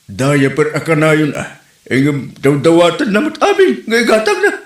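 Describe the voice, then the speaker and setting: A man plays a nagging village complainer in a comedy radio play. His Ilocano-accented voice leaps up and down with lively pitch, heard in an echoey room. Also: moderate pace, lightly acted. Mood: complaining. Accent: Filipino, Ilocano. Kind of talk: amateur comedy drama acting in Ilocano. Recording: roomy echo.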